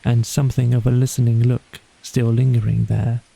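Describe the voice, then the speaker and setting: A man's voice: mid-range voice, flat delivery, moderate pace, gravelly, mellow. A man reading a scripted bedtime story as an audiobook narrator.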